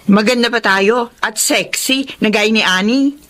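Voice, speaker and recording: An actress playing a meddling young matchmaker in a Tagalog romantic radio drama, insistent and gossipy. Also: female voice, on the high side, a little slow, lightly acted. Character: Lily, meddling matchmaking young female friend.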